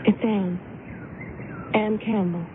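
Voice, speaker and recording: An actress playing a gentle, timid, polite young woman in a wistful radio drama role. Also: mid-range voice, steady tone, slow, close to an everyday voice. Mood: sweet, pleased. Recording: tinny, noisy old radio broadcast recording.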